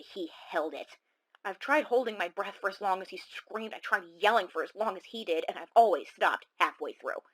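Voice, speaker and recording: A woman performs a shaken female caller on a horror radio show. She tells her own story in a confiding, suspenseful way, with a mid-pitched voice and dramatic delivery. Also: a bit bright, poor audio. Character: shaken young woman caller recounting a monster encounter.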